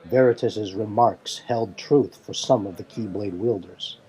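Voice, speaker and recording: A man's voice: on the low side, unhurried, warm tone, husky, poor audio. A man reading scripted fantasy narration in a formal, dramatic storytelling manner. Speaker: narrator and reader.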